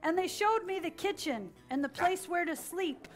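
High-pitched woman telling a children's story. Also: animated, normal speed, music in the background. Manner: dramatic, prompts children to repeat lines. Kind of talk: animated storytelling read-aloud to young children. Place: children's library story room with an audience, indoors.

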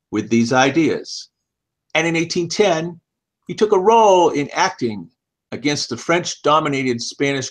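Older male reader, seventies, mid-pitched, measured and deliberate, narrating a written article on a headset. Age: older, about 70s. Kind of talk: scripted narration, reading an article aloud. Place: small home office, indoors.

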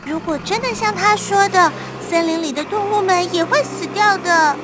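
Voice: very high-pitched, normal speed, background noise. A performer voicing a plucky, eager young goat in a children's cartoon, with a childlike voice. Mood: determined. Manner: eager, exclamatory.

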